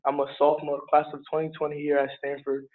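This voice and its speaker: Higher-pitched, even-toned young man. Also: fast, phone-quality sound, a little hiss or hum. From born in Liberia, moved to Harlem, New York. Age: around 20. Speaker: student panelist. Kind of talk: casual, unscripted panel answer.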